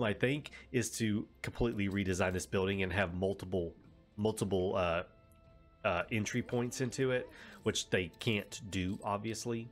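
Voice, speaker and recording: A man with a stuffy head cold talks through his game in a relaxed, rambling way, often stopping to correct himself. His voice sits fairly low and sounds nasal. Soft music plays quietly behind him. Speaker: let's play host. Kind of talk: casual gameplay commentary.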